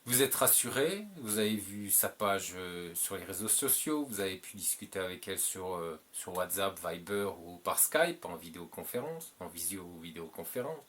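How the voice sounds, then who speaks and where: French-speaking male host, low voice, casual rambling advice with fillers, faint background noise. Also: expressive, moderate pace, few pauses, a bit bright. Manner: rambling, repeats himself, many filler "euh"s. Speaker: channel host giving advice.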